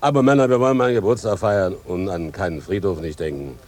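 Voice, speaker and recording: Male actor as village birthday man, low voice, easygoing, plain-spoken, good-natured. Radio play. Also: fast talker, darkish tone, lightly acted. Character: good-natured country man celebrating his birthday, down-to-earth. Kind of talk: radio drama acting.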